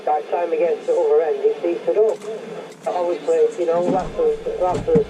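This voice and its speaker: A man's voice: a little high, flat delivery, fairly quick. An older man with a Northern English accent chats casually as a radio hobbyist, emphatic and rambling, often repeating himself. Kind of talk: casual chat over CB radio. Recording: muffled CB radio transmission with loud noise.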